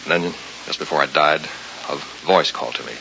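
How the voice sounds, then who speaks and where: An actor playing a frightened, bewildered man with a gravelly voice, terse and defensive, in old-time radio thriller style. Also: extremely low pitch, fairly quick. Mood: anguished, confessing. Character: frightened, bewildered man haunted by a supernatural bargain. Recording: hissy, dull old radio recording.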